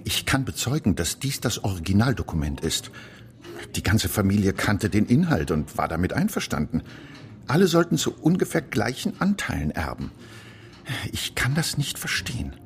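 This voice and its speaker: Male family solicitor, formal, precise, deeper voice, animated intonation, radio drama. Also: moderate pace. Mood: puzzled, formal. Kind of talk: German radio crime drama acting.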